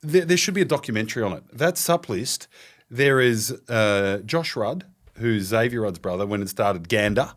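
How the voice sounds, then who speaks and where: A man in his thirties with an Australian accent, a podcast co-host telling footy stories in loud, swearing banter. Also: fairly low pitch, expressive, moderate pace. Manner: loud, boisterous storyteller who swears. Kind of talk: casual banter.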